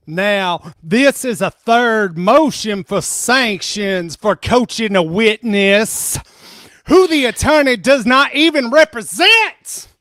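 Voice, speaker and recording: A sarcastic attorney, played by a reader, pressing a witness at a slow, deliberate pace in a dry recording. The questions come pointed and needling, as a dramatic reading of a deposition. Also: medium pitch, lively intonation, fairly bright tone, no echo. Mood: stern.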